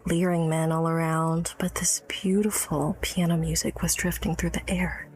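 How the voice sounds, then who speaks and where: A woman's voice: sits low, level tone, moderate pace, darkish tone. An actress playing an educated, tipsy, wry woman of early-1900s New York in a period audio drama, teasing and guarded. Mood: wistful storytelling. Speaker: storyteller recalling her childhood.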